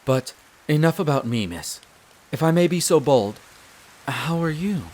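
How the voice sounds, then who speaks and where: A man playing a courteous, devoted wolf butler in a roleplay audio drama, speaking in a formal, measured and deferential way. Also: fairly high pitch, animated, darkish tone. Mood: dutiful, composed, then concerned, polite. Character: courteous, devoted male wolf butler, head servant of vampire castle.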